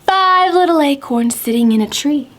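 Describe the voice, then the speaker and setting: A woman storytime host reading aloud expressively to small children, phrasing clearly and asking them questions. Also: sits high, expressive, normal speed, slight background noise.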